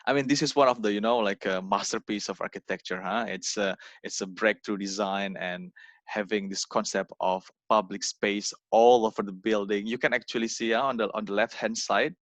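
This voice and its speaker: Young man, low voice, dry sound, halting presentation full of fillers, video call. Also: fairly even intonation, no echo. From Indonesia. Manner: halting, many fillers and repeated words. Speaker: guest speaker. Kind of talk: informal online presentation.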